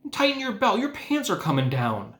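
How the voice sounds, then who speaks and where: A man's voice: high-pitched, huge pitch swings, brisk, fairly bright tone, voice pushed up, exaggerated voice. Man reading as a fussy, bossy farm mother. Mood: nagging. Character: fussy farm mothers, middle-aged women, nagging and practical. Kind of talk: storybook character voice in a read-aloud. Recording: echoey room.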